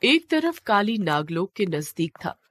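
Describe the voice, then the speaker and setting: A woman's voice: medium pitch, sing-song, measured pace, a bit bright, no room sound. A woman narrating a scripted mythological tale in a dramatic storytelling style.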